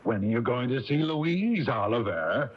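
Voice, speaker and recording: A man's voice: medium pitch, very animated, measured pace, breathy edge. An actor playing a hearty, overbearing middle-aged father in a radio drama, with a folksy manner. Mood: needling. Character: hearty, pushy, hard-drinking gun-loving father. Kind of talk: 1980s radio drama acting. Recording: dull, noisy taped AM radio broadcast.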